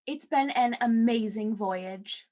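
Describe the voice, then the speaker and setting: A woman's voice: a little high, takes it slowly, darkish tone. A woman co-hosting a radio variety show, theatrical and playful, in scripted 1940s announcing style.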